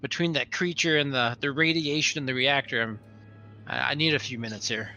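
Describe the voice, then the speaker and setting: A man's voice: mid-range voice, brisk, sharp tone, faint music behind. A man playing a tabletop roleplaying game, improvising his character's talk in a casual way.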